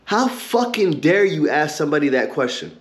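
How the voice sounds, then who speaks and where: A man hosting his own podcast, ranting heatedly and cursing a lot, with an occasional stutter and pauses filled with um and like. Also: fairly high pitch, sing-song. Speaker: podcast host. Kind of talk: ranting commentary.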